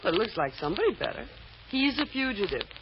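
A woman's voice: medium pitch, sing-song, quick pace, close to an everyday voice. An actress playing a practical, wry and supportive woman friend with a matter-of-fact manner in an old radio drama. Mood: sympathetic, practical. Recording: dull, noisy old radio recording.

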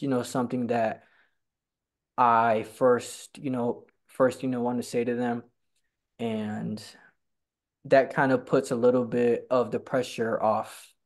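A man's voice: mid-range voice, darkish tone. A young man who stutters, talking on a video call from home, with lots of fillers and repeated words. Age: young adult. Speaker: guest. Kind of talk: casual video-call interview. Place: home room by a staircase. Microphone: laptop webcam on a video call.

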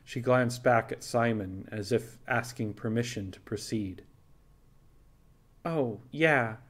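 A man's voice: lowish voice, fairly even intonation, a little slow, breathy edge. A man reading scripted fiction as an audiobook narrator.

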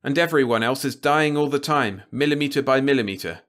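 A man's voice: mid-range voice, moderate pace, fairly bright tone, pitched above the performer's own voice, close to an everyday voice. A man voicing an earnest, determined male news operator who talks in an urgent, insistent way.

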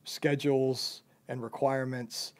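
A man's voice: mid-range voice, little pitch movement, unhurried. A young man who owns a company, casually interviewing a job applicant in a loose, rambling way with lots of fillers.

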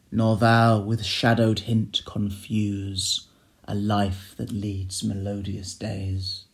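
A man reciting classic verse in a solemn, measured and expressive dramatic style. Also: mid-range voice. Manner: solemn, measured, expressive delivery with hushed line endings. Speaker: poetry reader.

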